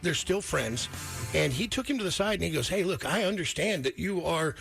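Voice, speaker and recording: Creaky-voiced man in casual radio chat. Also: a little high, expressive, a little fast, fairly bright tone, soft background music. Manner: informal, slangy, emphatic and repetitive. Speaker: radio morning show host.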